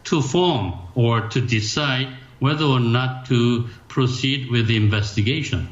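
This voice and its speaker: A man of medium pitch explains a procedure formally. The recording is dull and very roomy. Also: a little slow. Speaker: official. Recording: dull, echoey room recording.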